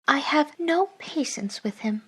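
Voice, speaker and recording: A woman playing an indignant, teasing young wife in a classic radio play, emphatic and disapproving, with a breathy voice. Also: sits high, expressive, a little slow. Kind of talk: classic radio drama acting.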